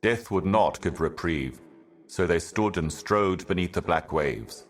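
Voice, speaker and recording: A man voicing an ancient, otherworldly envoy in a video game, delivering cryptic monologues in a solemn, measured, portentous manner. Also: sits low, expressive, slight background noise. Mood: solemn, ominous. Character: ancient cosmic envoy, solemn otherworldly prophet serving higher powers.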